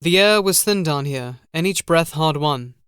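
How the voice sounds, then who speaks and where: A man narrating a first-person horror audiobook in a measured, literary, brooding manner. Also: fairly high pitch, animated, fairly bright tone, clear, resonant tone, dry recording, clean studio sound.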